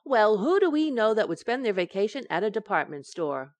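A woman acts out a scatterbrained young wife in a radio sitcom. She coaxes with wide-eyed innocence and breezy comic timing. Her pitch swings in a lively way, and the sound is dry. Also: fairly quick, no echo, natural voice. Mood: wheedling. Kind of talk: old-time radio sitcom acting.

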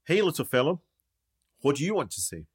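An actor playing a brisk male documentary maker who puts questions to people in a radio play. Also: on the high side, very animated, breathy edge. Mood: inquisitive. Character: self-proclaimed master documentary maker, brisk male interviewer. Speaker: documentary maker interviewing migrants.

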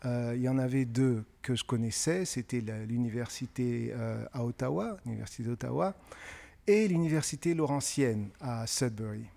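Measured middle-aged man, thoughtful pauses. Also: medium pitch, creaky, dark tone. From francophone West and Central Africa. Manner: measured, thoughtful, pausing often with hesitations. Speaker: guest author. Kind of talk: conversational interview answers.

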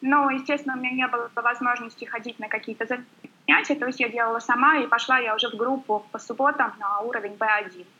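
A woman guest chatting quickly and warmly in an interview, full of filler sounds. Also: on the high side, steady tone, highs cut off. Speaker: guest blogger. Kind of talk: casual interview chat.

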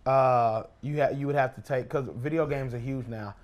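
A man's voice: medium pitch, steady tone, normal speed, lo-fi sound. A man in a casual podcast chat while playing a video game, arguing his points and repeating them for emphasis. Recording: clearly noisy room recording.

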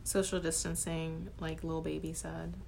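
A young woman in her twenties co-hosts a casual podcast, her voice sitting low for a woman. She rambles, mocks herself and laughs a lot. A faint hiss runs under the recording. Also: little pitch movement, a little slow, vocal fry. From United States. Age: 20s. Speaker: podcast co-host. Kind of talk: casual chat.

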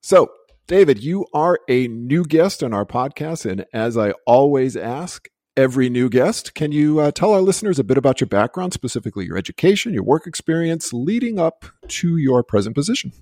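A man's voice: mid-range voice, very animated, normal speed, darkish tone. A man hosting a podcast and asking his guest curious questions. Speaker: podcast host. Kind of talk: podcast interview.

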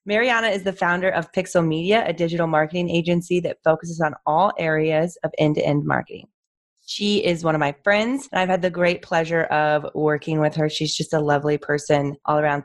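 A woman hosts a podcast interview, asking friendly questions and laughing along with her guest. Her voice is low for a woman. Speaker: podcast host. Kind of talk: interview host.

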